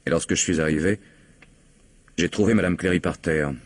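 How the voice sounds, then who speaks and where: An actor playing a calm, skeptical police commissioner in an old-fashioned radio mystery, measured, dry and insinuating. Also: male voice, low voice, dry recording. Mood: suspicious, probing.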